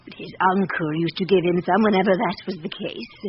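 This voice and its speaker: A woman's voice: lowish voice, steady tone, a little fast, close to an everyday voice. A stately elderly noblewoman played by an actress speaks with formal, candid pride in a radio drama. Her emphatic lines come through a dull old recording with faint noise. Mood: proud, firm. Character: proud, candid elderly aristocratic French widow.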